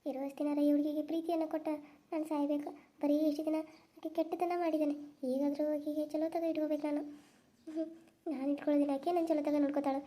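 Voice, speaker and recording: A woman's voice: high-pitched, dark tone. A woman voicing a stern older village mother-in-law with a North Karnataka accent, talking in a bossy, scolding way. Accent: North Karnataka Kannada.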